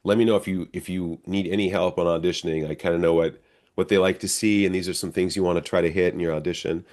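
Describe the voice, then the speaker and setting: A middle-aged American man with a low-pitched voice talks casually as an interview guest. He tells stories in a conversational way, slipping into quoted dialogue like the actor he is. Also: steady tone, quick pace, a little mellow. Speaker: stage actor being interviewed.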